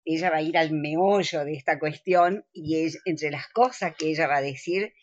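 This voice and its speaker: A woman speaking as a guest actress in a live radio interview, courteous and deliberate. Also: low voice, no room sound, muffled recording.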